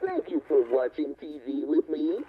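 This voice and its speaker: A man's voice: a little high, sing-song, moderate pace, muffled recording. A man voicing a cheerful, childlike plush dinosaur toy with simple, singsong exclamations, heard through a thin little toy speaker. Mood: cheerful, excited. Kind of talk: children's interactive toy phrases.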